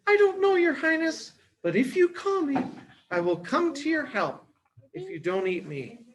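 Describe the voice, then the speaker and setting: A squeaky, high-pitched mother mouse from a children's storybook, acted by a man. She is tiny, humble and very polite. She speaks slowly, in an earnest plea. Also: big pitch swings, a little hiss or hum, heavily acted.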